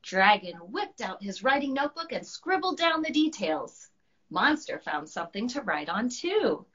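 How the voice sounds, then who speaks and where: An American woman reads to children in a storybook style. Her voice is bright and highly animated, like a teacher addressing a class. The livestream audio is a little dull. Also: normal speed. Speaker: teacher and children's author reading her book. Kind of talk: children's storybook read-aloud. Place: at home, on a live video stream.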